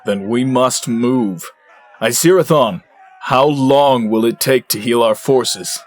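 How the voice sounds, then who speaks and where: A man's voice: mid-range voice, takes it slowly, close to an everyday voice. Man acting a commanding fantasy war leader. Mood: resolute. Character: resolute, commanding male leader of fantasy warriors. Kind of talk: fantasy audio drama acting.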